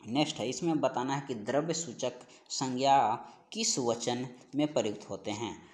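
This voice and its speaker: A male teacher with a medium-pitched voice takes learners through exam questions in a didactic way. He reads each item aloud, repeats it, and stretches out the key phrases before giving the answer. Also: normal speed. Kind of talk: Hindi grammar exam-prep lesson, reading out questions and answers.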